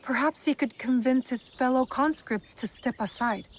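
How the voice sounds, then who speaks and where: A woman's voice: high-pitched, moderate pace, slight background noise, natural voice. An actress playing a determined young female warrior in a video game, blunt but compassionate, with a direct, probing way of speaking. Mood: businesslike. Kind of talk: dramatic video game cutscene acting.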